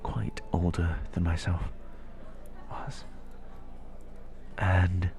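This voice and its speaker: Male actor as kindly fantasy innkeeper, gravelly creak, unhurried, soft-spoken, halting, confiding, audio roleplay, music behind. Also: sits low, steady tone, exaggerated voice. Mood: confiding, wistful. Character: kindly, educated male tavern keeper in a fantasy world. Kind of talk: intimate audio roleplay addressed to the listener.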